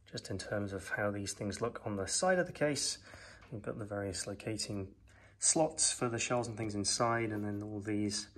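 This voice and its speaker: A man's voice: on the low side, breathy edge. A man giving a casual, rambling product review at home, with frequent ums and uhs, filmed on a phone. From New Zealand. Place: home gaming room, indoors. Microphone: phone camera, off screen.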